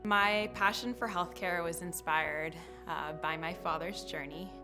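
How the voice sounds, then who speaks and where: Low, level woman over music. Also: fairly even intonation, a little slow. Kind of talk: interview testimonial. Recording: music laid under the voice.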